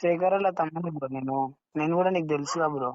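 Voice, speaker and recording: Young male call recipient, rapid casual protests and questions, dull lo-fi line. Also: fairly high pitch, a little hiss or hum. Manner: questioning, protesting, says bro often. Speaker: prank call target. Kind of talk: casual phone conversation.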